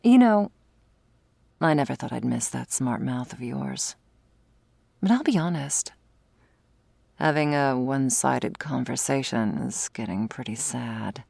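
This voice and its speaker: A woman playing a sardonic, predatory vampire with a secret wistful side, in an intimate close-miked ASMR roleplay, wry and teasing, sometimes slipping into a breathy whisper. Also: very deep voice, expressive, a little slow, pauses often, a little mellow, no room sound, exaggerated voice. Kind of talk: intimate ASMR roleplay, scripted monologue to listener. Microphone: close, dry studio mic.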